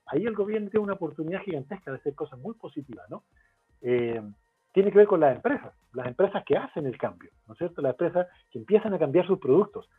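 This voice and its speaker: Chilean man, lively, on a tinny call line. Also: a little high, fast talker, husky. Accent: Chilean Spanish. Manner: explanatory, lively, lists points with rhetorical questions. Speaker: guest expert. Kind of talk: webinar interview. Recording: tinny phone-like line, faint music.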